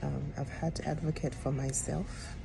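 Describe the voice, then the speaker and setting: A middle-aged woman, a public health educator, talking in an earnest, reflective way with frequent pauses and ums. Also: low-pitched, level tone, creaky, low-quality recording. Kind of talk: personal vlog talk. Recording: music playing underneath.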